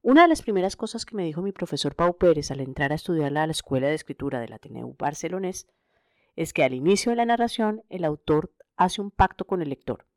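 A woman's voice: deep voice, darkish tone, no room sound, clean studio sound. A middle-aged woman with a Colombian accent hosting a podcast alone, reading a scripted personal narration. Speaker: podcast host.

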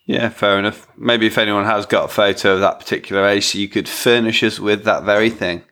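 A man's voice: lowish voice, steady tone, brisk, pushed and bright. A man hosting a casual chat, rambling and hesitant with many fillers and restarts. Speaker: podcast host.

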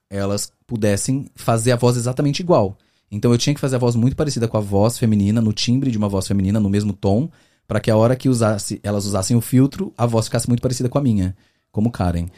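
A man from the interior of São Paulo state, with that region's accent, chatting as a guest on a podcast. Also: fairly low pitch. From Brazil, interior of São Paulo state. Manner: fast, animated storytelling with many fillers. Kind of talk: casual interview chat.

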